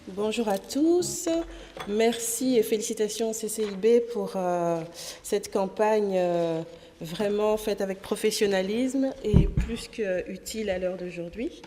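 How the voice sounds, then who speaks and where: A woman's voice: medium pitch, a little slow, warm tone, slight background noise. A woman originally from Congo, an association president and trainer, giving a pragmatic conference talk with frequent hesitations. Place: parliament conference hall. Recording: long hall reverb.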